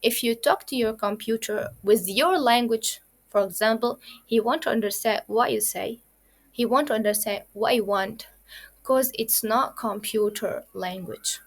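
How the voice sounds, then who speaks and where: A woman teaches children the basics of coding. Her voice sits a little high, and she explains each point step by step and repeats it, with plenty of fillers along the way. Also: measured pace. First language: Arabic. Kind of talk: kids' coding lesson.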